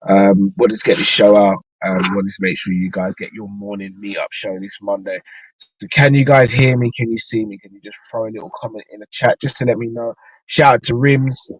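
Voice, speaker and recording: A man hosting a live fan show from inside his car, his voice still a little croaky from a flu, chatting loosely and thinking aloud with ums and restarts. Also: fairly low pitch, steady tone, a little fast, crowd noise, poor audio. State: slightly croaky after flu. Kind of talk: casual live-stream chat.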